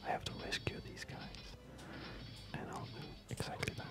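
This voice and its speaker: A man giving hushed, unhurried and rambling ASMR commentary over a game he is playing, speaking in a whisper. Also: over music. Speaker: gaming video host.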